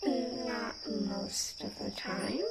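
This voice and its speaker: A woman's voice: on the high side, steady tone, very slow, vocal fry, very noisy, full character voice. A woman playing a stubborn, playful young tree nymph in an ASMR fantasy roleplay, theatrical and emphatic in her defiance. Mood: stubborn, skeptical. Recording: loud chirping forest ambience over voice.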